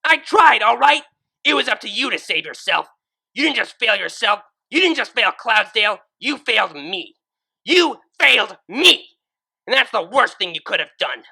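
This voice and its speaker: A reader voicing a grown female pegasus turned cruel, who yells at a young pony with bitter fury in a fan fiction audiobook. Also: medium pitch, big pitch swings, normal speed, vocal fry, slightly rough, pitched above the performer's own voice, exaggerated voice. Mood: furious. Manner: furious yelling, bitter and cold. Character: adult mare pegasus turned ruthless, screaming with hate.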